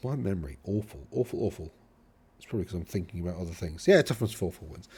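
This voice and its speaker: Rambling Middle England man, slightly low voice. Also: animated, fast, a little mellow, husky. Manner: rambling, self-correcting, chatty asides. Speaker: host reviewing tournament lists. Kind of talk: casual solo commentary.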